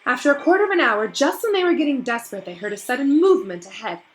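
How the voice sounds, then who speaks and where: A woman teacher speaking to children in an encouraging, affectionate way, in the expressive style of a storybook read-aloud. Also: high-pitched, fast talker, faint music behind. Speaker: teacher reading aloud to pupils.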